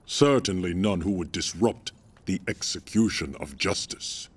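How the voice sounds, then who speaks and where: A man playing an imperious, suspicious warrior emperor in a video game, husky, stately and formal. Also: sits low, measured pace. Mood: stern suspicion. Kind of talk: dramatic video game cutscene acting.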